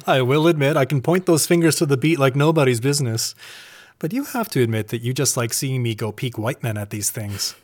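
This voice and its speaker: An actor playing an affectionate, joking middle-aged husband in a naturalistic audio drama, with a playful, eager, self-deprecating manner. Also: male voice, medium pitch, fairly quick, natural voice.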